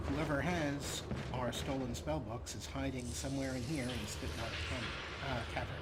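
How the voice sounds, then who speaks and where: A man hosting a let's play, giving measured, wry commentary and explanations. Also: medium pitch. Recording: loud background noise and game ambience.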